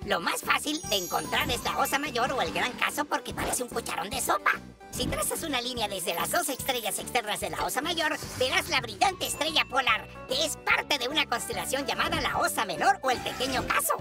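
A woman narrating an educational segment for children with clear, patient explanations. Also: sits high, big pitch swings, brisk. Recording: music playing underneath.

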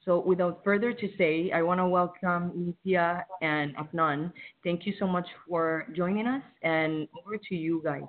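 A woman's voice: fairly low pitch, fairly even intonation, moderate pace, few pauses. A woman hosting a webinar, reading out a speaker's bio and welcoming the presenters over a video call. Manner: reads a bio aloud. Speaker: webinar host. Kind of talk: introducing a speaker. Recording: tinny call audio.

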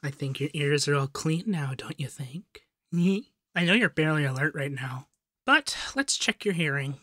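Man as soft, flirty femboy doctor, very close. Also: a little high, expressive, normal speed, dry recording. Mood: playful, affectionate. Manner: teasing, intimate, soothing. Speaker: ASMR roleplay performer. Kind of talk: ASMR roleplay, whispering and soft speech. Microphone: close binaural 3Dio microphone.

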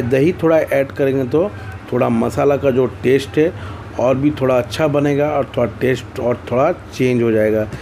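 An Indian-accented man hosts a step-by-step cooking tutorial in a soft, mellow tone. His delivery is plain and instructional, circling back to the same phrases. Noticeable background noise sits behind his voice. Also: medium pitch. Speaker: cooking channel host. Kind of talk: recipe tutorial narration.

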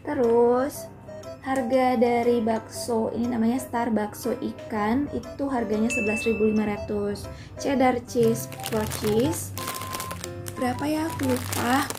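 Casual woman vlogger, a bit high-pitched, chatty voice-over with music behind. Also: steady tone. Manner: chatty, pauses to recall prices. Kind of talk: casual grocery haul voice-over. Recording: voice-over with clear background music.

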